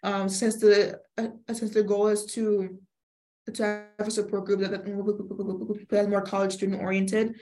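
A woman's voice: medium pitch, level tone, a little slow, darkish tone. A young woman, a student guest in an interview, speaking with many fillers and stuttered repetitions. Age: young adult. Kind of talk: interview answers.

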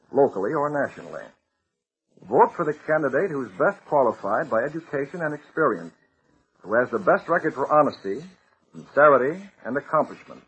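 A man's voice: mid-range voice, fairly bright tone, lo-fi sound. A man reading a scripted old-time radio announcement in an earnest, exhorting manner to young listeners. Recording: noisy old radio recording.

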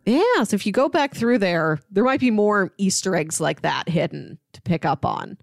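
A woman's voice: medium pitch, expressive, normal speed, gravelly, dry recording, clean studio sound. A woman co-hosting a podcast in casual discussion, explaining things enthusiastically with plenty of "like" in her phrasing. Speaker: podcast co-host. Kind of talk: casual comic book discussion.

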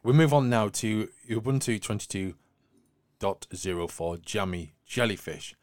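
A man with a lowish voice presents tech news on a podcast. The sound is dry, with little room in it. His delivery mixes read items with wry, flippant commentary. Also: animated, measured pace, a little mellow, dry recording. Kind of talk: news discussion podcast, semi-scripted.

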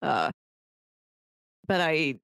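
Lively, swooping woman podcast co-host. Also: low-pitched, very slow, creaky, clean tone, dry recording, clean studio sound. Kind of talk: casual, conversational film discussion.

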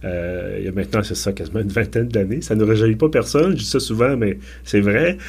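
A man's voice: fairly low pitch, brisk, a little mellow, slightly rough. A man, hosting a podcast and asking long, hesitant questions with many restarts. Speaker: podcast host. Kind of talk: casual podcast interview.

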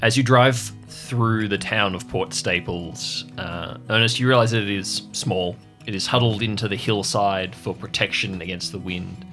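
Lower-pitched man narrating as game master. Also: steady tone, normal speed, few pauses, over music. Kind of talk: descriptive tabletop game narration.

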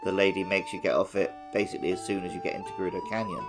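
A man's voice: low voice, huge pitch swings, rapid-fire, music in the background. A man co-hosting a casual game podcast, explaining game mechanics thoughtfully. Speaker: podcast co-host. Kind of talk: casual chat.

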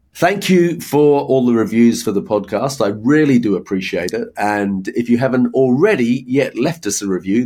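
A man hosting a podcast, who reads a prepared intro and then chats with his guest in a measured, earnest way. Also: fairly low pitch, a little mellow. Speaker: podcast host. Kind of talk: scripted podcast intro, then casual interview.

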